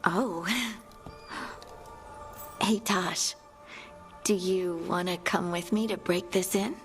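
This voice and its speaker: A woman's voice: fairly low pitch, steady tone, takes it slowly. A woman voicing a sweet, teasing young heroine in love in a fantasy video game. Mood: sweet, pleased. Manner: teasing, affectionate. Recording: game audio with faint music behind.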